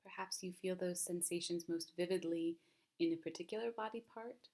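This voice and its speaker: A woman leading a guided mindfulness meditation as a teacher, speaking gently and calmly, with unhurried phrasing and long pauses. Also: fairly low pitch.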